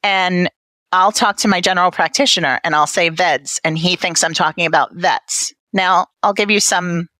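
Woman, Brooklyn accent, slightly low pitch, dry close sound, candid interview guest. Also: steady tone, normal speed, sharp tone, no echo. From Brooklyn, New York, United States. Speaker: interview guest, patient family advocate. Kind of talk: personal conversational interview.

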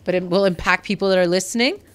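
A woman's voice: lowish voice, quick pace, smooth. Playful, self-mocking young woman co-host. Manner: playful, self-deprecating, laughs often. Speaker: podcast co-host. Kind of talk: casual chat. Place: small studio with acoustic foam walls. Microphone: studio mic on boom arm.

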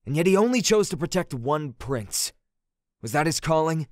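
A man's voice: a little high, sing-song, normal speed, no echo. A man playing a sullen, resentful teenage prince in an audio drama. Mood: bitter. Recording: music under the voice.

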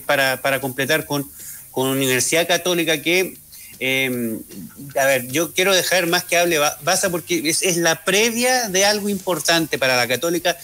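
A man with a Chilean accent, co-hosting a sports talk panel and giving halting, analytical takes. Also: a little high, pushed and bright. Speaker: sports commentator co-host.

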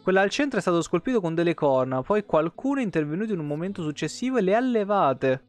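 A man in his thirties with a slightly high voice reads fantasy game lore aloud. His delivery is expressive, dropping into informal streamer asides. Soft plucked guitar music plays behind him. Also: quick pace. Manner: expressive reading, breaking off for informal comments. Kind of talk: reading game codex text aloud with casual asides. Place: small indoor room, streaming setup.

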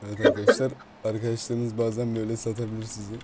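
A man's voice: fairly low pitch, completely flat delivery, very fast, darkish tone. A man improvising comic game commentary as a roleplay video host, swearing freely. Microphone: gaming mic at a computer. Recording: constant noise with keyboard and mouse clicks.

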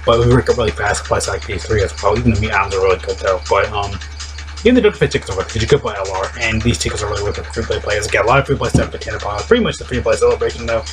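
A young man giving casual mobile game tips from a small room on a webcam, rambling and repeating himself, his voice a little deep and strained. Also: rapid-fire, background noise. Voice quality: voice a bit deep and strained today. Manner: rambling, repetitive, upbeat. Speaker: mobile game content creator. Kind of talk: casual game guide commentary. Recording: background music throughout.

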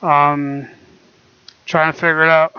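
A young man talking quietly and hesitantly with many fillers, recorded on his laptop microphone at home. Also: a little high, little pitch movement, takes it slowly, clear, resonant tone, no echo. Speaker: vlogger. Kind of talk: casual screen-recorded talk. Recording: dull lo-fi laptop mic.

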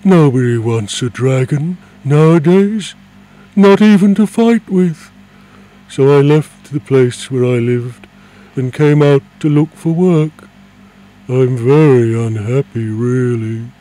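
A man reading aloud and voicing a gentle, lonely male dragon who speaks in a plaintive, wheedling way. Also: mid-range voice, animated, takes it slowly, poor audio. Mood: forlorn.

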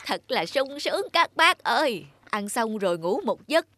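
A woman's voice: a little high, big pitch swings, normal speed, a bit bright, no room sound. A woman voicing a friendly, eager talking farm animal in a cartoon fairy tale. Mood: pleased, scheming.

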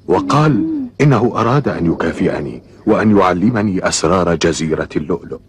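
A grave cartoon father and storyteller with a deep voice that moves widely in pitch. He speaks measured, formal classical Arabic, cautioning as he tells his tale. The old dub soundtrack carries a faint noise.

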